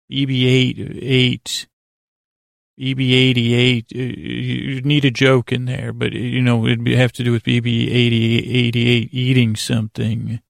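A man hosting a bedtime podcast in a lulling, rambling monologue, halting and self-deprecating as he circles back on himself. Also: mid-range voice, vocal fry, dry recording, clean studio sound. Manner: lulling, soothing delivery. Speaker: podcast host.

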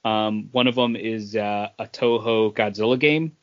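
A man co-hosting a casual gaming chat, talking informally and a bit haltingly in loose, wandering sentences. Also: on the low side, steady tone, highs cut off. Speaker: podcast co-host.

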